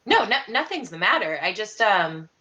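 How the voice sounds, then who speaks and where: A young woman improvising in character in an online tabletop game, halting and rambling, often repeating words. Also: medium pitch, sing-song, sharp tone. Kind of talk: improvised in-character roleplay over online call. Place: home room on a video call.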